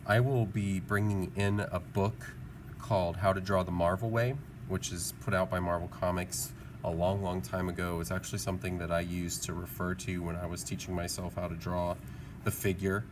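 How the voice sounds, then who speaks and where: A man's voice: low voice, little pitch movement, moderate pace. A man giving a casual drawing tutorial, thinking aloud in an informal, encouraging, musing way as an art teacher. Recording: clearly audible background noise.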